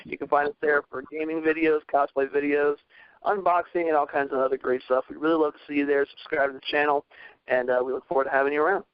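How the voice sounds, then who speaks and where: A man's voice: a little high, level tone, fast talker, clear, resonant tone, no room sound, dull audio. A man co-hosting a podcast, chatting and plugging casually. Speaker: podcast co-host. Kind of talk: casual chat and channel plug.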